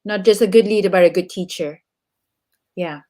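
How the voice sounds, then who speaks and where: A woman's voice: mid-range voice, normal speed, mellow. A woman from Singapore co-hosting a leadership talk, reflective and asking searching questions about her guest's reading. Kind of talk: conversational podcast interview.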